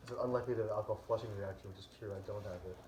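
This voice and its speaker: A man's voice: lowish voice, fairly even intonation, very fast, roomy sound, noisy background. A man co-hosting a casual chat, easygoing, reading items aloud with some uhs. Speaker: co-host.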